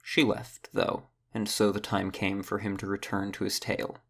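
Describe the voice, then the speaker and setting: A man with a gravelly, husky voice reading scripted narration for a fantasy audio drama. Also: lowish voice, level tone, moderate pace, fairly bright tone.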